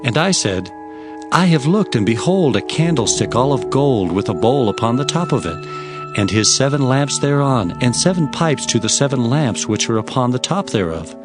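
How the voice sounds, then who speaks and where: A man playing an earnest prophet who recounts his visions in formal, expressive storytelling for dramatized scripture. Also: medium pitch, normal speed, natural voice. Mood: awed, questioning. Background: faint music.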